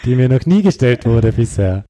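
A man's voice: medium pitch, big pitch swings, moderate pace, warm tone, slight background noise. A man with an Austrian accent chatting casually as an interview guest, thinking aloud and often searching for his words. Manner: hesitant, reflective, searching for words.

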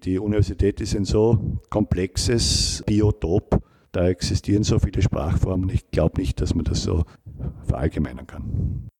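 A middle-aged man, a linguistics professor giving measured, academic interview answers and often rephrasing himself. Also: deep voice, warm tone, breathy edge.

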